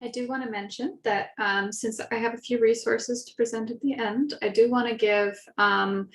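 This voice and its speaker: A woman's voice: fairly high pitch, fairly even intonation, normal speed. A young woman moderating a student-led online panel, speaking hesitantly with many ums. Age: young adult. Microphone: video call. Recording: echoey room.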